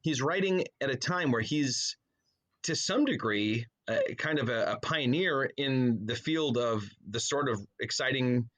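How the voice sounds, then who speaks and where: A man's voice: medium pitch, animated, a little slow, fairly bright tone. A middle-aged man speaking as a podcast guest in a casual, colloquial, jokey way, like someone chatting with a buddy. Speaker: podcast guest, author and pastor. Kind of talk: casual conversational interview.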